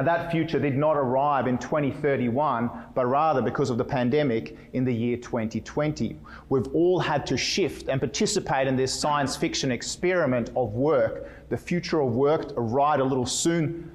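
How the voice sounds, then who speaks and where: A man from Sweden with a Swedish-Australian accent, giving a fast, playful keynote talk at a conference. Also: medium pitch, a little mellow. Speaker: keynote speaker. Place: conference room.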